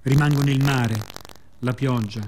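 Mellow-voiced man, reflective theatrical storytelling. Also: mid-range voice, fairly even intonation, measured pace. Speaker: storyteller, solo theatre monologist. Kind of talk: scripted radio theatre monologue.

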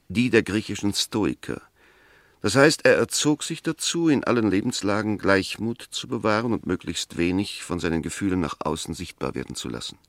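A firm English defence lawyer in a 1960s German radio play. The voice is on the low side and recorded dry and close to studio silence. He reasons formally and methodically, pressing his points with emphasis. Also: no room sound.

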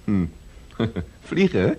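An actor playing a curious, politely doubtful reporter in a 1970s radio play. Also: male voice, on the low side, sing-song, slow pace, dark tone, slightly rough, lo-fi sound, heavily acted. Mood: skeptical. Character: inquisitive male newspaper reporter.

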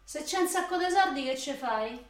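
A woman's voice: high voice, animated, normal speed. An actress playing a volatile, foul-mouthed young working-class woman from Rome in a radio drama, with a Roman accent and coarse slangy delivery. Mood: teasing, impatient. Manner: coarse slangy street talk. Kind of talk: radio drama acting with long monologue. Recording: echoey room with faint noise.

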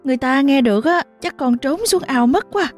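A woman's voice: high voice, animated, fairly quick, faint music behind, pitched above the performer's own voice. A woman voicing a shy, playful young village woman who teases coyly. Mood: coy teasing. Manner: coy teasing.

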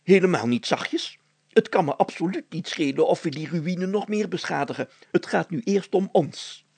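A man's voice: sits high, expressive, moderate pace, a little mellow, breathy edge, no room sound, pitched above the performer's own voice, exaggerated voice. A man voicing a pompous, blustering village mayor in a story, talking in grand formal words broken by groans and interjections. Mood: stubborn, indignant. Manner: pompous, old-fashioned formal wording, full of groans and interjections.

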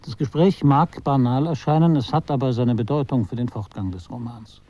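A man performing a detached, ironic literary narrator in a radio drama, with measured, deliberate phrasing. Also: medium pitch, warm tone, highs cut off, a little hiss or hum, lightly acted. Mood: measured, wry.

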